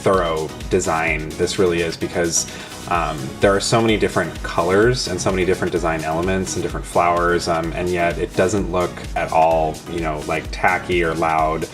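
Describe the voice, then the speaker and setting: A man's voice: deep voice, steady tone, normal speed. A man co-hosting a casual, unscripted review, speaking with enthusiastic, emphatic praise. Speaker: co-host of a toy review. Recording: music clearly behind the voice.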